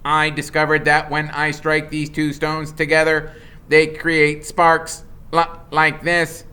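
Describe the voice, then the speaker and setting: A man's voice: fairly high pitch, monotone, takes it slowly, pushed and bright, smooth, pitched above the performer's own voice. A man voicing a polite, literal female robot from a storybook, speaking in a precise, matter-of-fact way.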